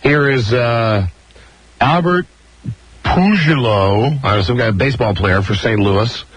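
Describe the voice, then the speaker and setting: A man's voice: mid-range voice, takes it slowly, husky, no echo, low-quality recording. A man hosting a talk radio show, chatting casually in a crude, joking way. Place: radio studio. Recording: hissy radio broadcast recording.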